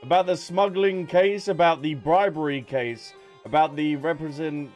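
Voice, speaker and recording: A man's voice: on the high side, moderate pace, darkish tone. A man casually commentating a game on a livestream in a playful, chatty way, sometimes reading text aloud. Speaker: game streamer. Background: game music.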